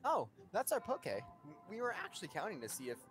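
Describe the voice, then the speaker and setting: A woman's voice: mid-range voice, huge pitch swings, brisk. A woman playing a bossy, peppy young female Pokemon guide with a chirpy, instructive manner in a fan comic dub. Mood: cheerful. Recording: music under the voice.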